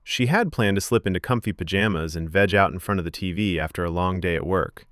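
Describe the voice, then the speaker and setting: A man reading scripted fiction as a podcast narrator, with expressive storytelling delivery. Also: deep voice, dry recording, clean studio sound.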